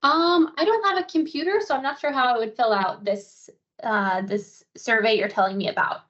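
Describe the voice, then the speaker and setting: A woman with a fairly high voice answers survey questions on a slightly dull, lo-fi call. She speaks conversationally, a bit hesitantly, as if working out each answer. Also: moderate pace, fairly bright tone. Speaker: patient answering a survey interview. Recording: dull, lo-fi phone call audio.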